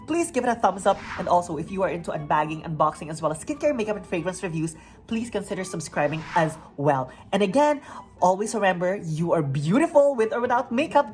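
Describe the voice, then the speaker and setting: A woman in her forties hosting a beauty unboxing vlog, bubbly and upbeat, reading product labels aloud from her home. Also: medium pitch. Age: 40s. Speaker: beauty vlogger. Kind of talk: unboxing review. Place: home near a busy street.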